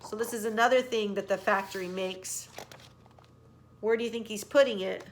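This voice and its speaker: A woman's voice: mid-range voice, steady tone, normal speed, a little hiss or hum. A woman reading a children's story expressively, with emphatic, teacherly phrasing aimed at young listeners.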